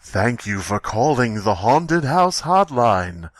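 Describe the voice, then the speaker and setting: A man voicing an automated haunted house phone hotline announcer, talking in a slow, theatrical, scripted way. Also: mid-range voice, very animated, dry recording, studio quality. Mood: ominous announcing.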